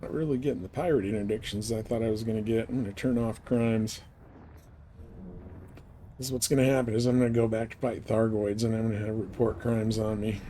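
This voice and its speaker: A man's voice: lowish voice, steady tone, fairly quick, warm tone, a little hiss or hum. A man livestreaming a space game, chatting casually and rambling informally as he thinks aloud. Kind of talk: casual chat while playing a space game.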